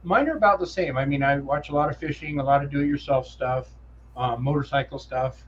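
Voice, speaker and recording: A man's voice: mid-range voice, fairly quick, dull audio, a little hiss or hum. A man with a nasal voice, co-hosting a livestream in casual, easygoing chat. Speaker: co-host.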